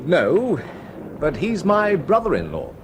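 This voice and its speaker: A man's voice: on the high side, wildly swooping pitch, slow, exaggerated voice. An actor playing a dry, probing young man in a radio drama. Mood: wry. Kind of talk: 1970s radio drama acting. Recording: old radio broadcast with faint hiss.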